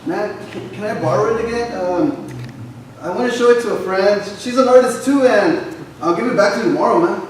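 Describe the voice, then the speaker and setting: A man's voice: sits high, a little fast, lightly acted. A man playing a laid-back young friend in a staged reading, talking in slang, recorded from far off in a hall. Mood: friendly, casual. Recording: echoey hall from far, faint noise.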